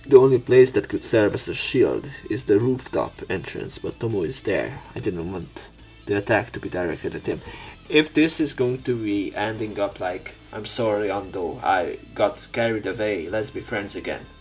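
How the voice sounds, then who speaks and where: Deep guy w lots of vocal fry doing a visual novel let's play, reads it out dramatic n comments casually, soft game music behind. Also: animated, moderate pace. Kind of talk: dramatic read-aloud narration with casual commentary. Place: voice-over on game footage. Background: faint game music.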